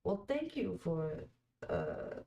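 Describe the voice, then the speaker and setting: A woman in her twenties with a lowish voice, chatting unhurriedly on a live fan stream. She is a Croatian native speaker who laughs easily and answers viewer comments as they come in. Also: huge pitch swings, slow, vocal fry, warm tone. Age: 20s. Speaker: fan panel host. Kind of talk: casual live watch-along chat.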